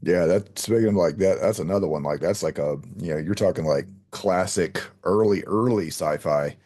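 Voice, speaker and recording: A man's voice: low-pitched, normal speed, mellow. A man hosting an interview in casual chat, rambling and hesitant as he circles toward each question. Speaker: podcast host, interviewer.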